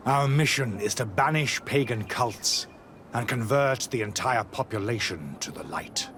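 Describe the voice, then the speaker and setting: An actor playing a zealous, righteous missionary monk in a video game, with a fervent, preachy delivery. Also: male voice, medium pitch, lively intonation, measured pace, fairly bright tone, a little hiss or hum. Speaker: missionary brother.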